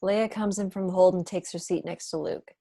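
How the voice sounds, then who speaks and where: A woman narrates a script's stage directions. The voice sits fairly low, and the sound is dry and close, as on a video call. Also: steady tone, fairly quick, vocal fry, mellow, no room sound.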